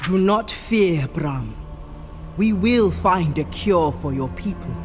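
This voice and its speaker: A woman playing a resolute island priestess in a video game, speaking in a formal, measured, assured way. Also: lowish voice, lively intonation. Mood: solemn, resolute. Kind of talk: video game character acting. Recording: prominent music behind the voice.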